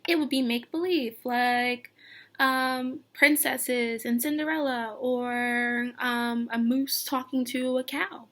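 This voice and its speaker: A woman teacher reading a picture book aloud to young children, expressive and sing-song, pausing to put questions to them. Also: high voice. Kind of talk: read-aloud lesson with questions to young listeners.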